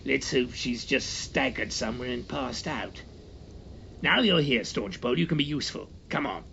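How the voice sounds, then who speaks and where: A man's voice: mid-range voice, expressive, moderate pace, vocal fry, pushed and bright, muffled recording, slight background noise. A man voicing a brusque, exasperated, commanding upper-class English uncle in a storybook reading. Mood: grudging.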